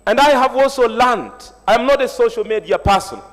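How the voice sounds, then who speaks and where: A man's voice: very high-pitched, animated, normal speed, fairly bright tone. A man from Tanzania, a Swahili speaker, giving an earnest, reflective panel speech at a university symposium. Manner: motivational, reflective, personal storytelling. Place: large university hall. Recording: echoey hall reverb.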